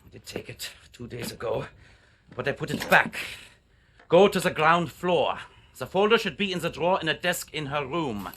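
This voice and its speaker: A man's voice: a little high, animated, normal speed, a bit bright, a little hiss or hum. A man playing an earnest, repentant German resistance member with a German accent in a video game. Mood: protective, gentle. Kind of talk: cinematic video game acting.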